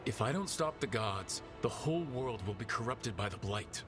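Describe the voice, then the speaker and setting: A man's voice: lowish voice, lively intonation, normal speed, music in the background, close to an everyday voice. A man playing a determined, heroic protector in a video game, speaking directly and insistently as he pleads a case. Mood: earnest, persuasive. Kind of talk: dramatic video game acting.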